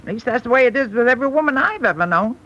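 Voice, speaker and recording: A woman's voice: fairly high pitch, animated, very fast, fairly bright tone. An actress playing a wry, kindly, plain-spoken old grandmother in a 1930s radio comedy. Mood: wryly advising. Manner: folksy, wry. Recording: dull 1930s radio recording.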